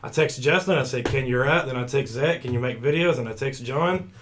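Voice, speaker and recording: Monotone young Kentucky man. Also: mid-range voice, brisk, crowd noise. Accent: Western Kentucky. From Western Kentucky. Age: 20s. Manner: self-described monotone, laughs easily. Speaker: podcast co-host. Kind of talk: casual podcast chat.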